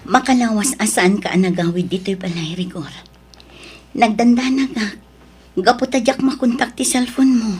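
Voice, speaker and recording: Actress as wounded, pleading wife in audio drama; high-pitched, Ilocano accent, faint music bed. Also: female voice, moderate pace, darkish tone, close to an everyday voice. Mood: hurt. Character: hurt, pleading pregnant young wife.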